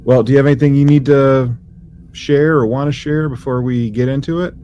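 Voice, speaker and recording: A man hosting a podcast in casual conversation, talking in a halting, conversational way with frequent fillers. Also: medium pitch, fairly even intonation, mellow, smooth, lo-fi sound. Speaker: podcast host.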